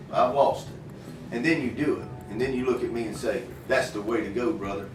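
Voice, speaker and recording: A man's voice: mid-range voice, background noise. Folksy man in a roomy space. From Florida, United States. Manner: folksy, plain-spoken, emphatic with repetition. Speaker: inventor and farm irrigation worker, interview guest. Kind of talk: earnest interview talk. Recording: roomy, distant mic, faint music behind.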